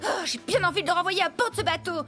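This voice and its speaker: A woman playing a spirited, talkative young sister in a French cartoon dub, expressive and feisty. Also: very high voice, quick pace. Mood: exasperated. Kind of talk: French animated series dubbing. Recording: music behind the voice.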